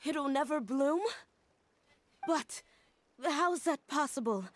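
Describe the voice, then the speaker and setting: High-pitched, sensitive young student. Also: female voice, animated, slow, a little hiss or hum. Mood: shocked, upset. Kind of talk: anime video game acting. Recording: faint music behind.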